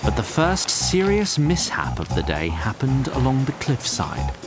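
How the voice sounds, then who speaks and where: Male narrator: wry, formal, mid-pitched, very expressive intonation, music underneath. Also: unhurried. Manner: wry, formal, addresses listener directly. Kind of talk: scripted storybook narration, audio drama.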